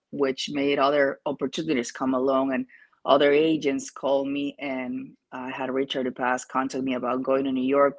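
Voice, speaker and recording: Peruvian-accented man, halting and casual. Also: medium pitch. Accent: Peruvian Spanish. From Peru. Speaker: jockey, interview guest. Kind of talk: casual interview answers.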